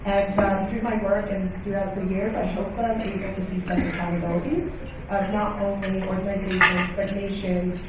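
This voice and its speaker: A young woman from Nigeria speaking in a measured, factual way on a conference panel. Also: mid-range voice, flat delivery. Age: young adult. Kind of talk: panel discussion. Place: conference hall. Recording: echoey hall, distant mic, room noise.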